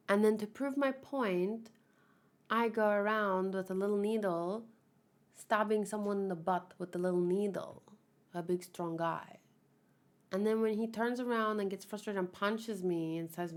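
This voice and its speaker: A woman giving informal commentary as a read-along host, thinking aloud, arguing her points and acting out imagined replies. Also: mid-range voice.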